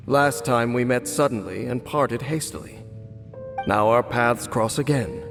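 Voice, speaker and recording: An actor playing a grave, brooding wandering swordsman who speaks in a slow, formal, solemn way in a game cutscene. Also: mid-range voice, vocal fry, fairly bright tone. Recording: game audio with long reverb and music.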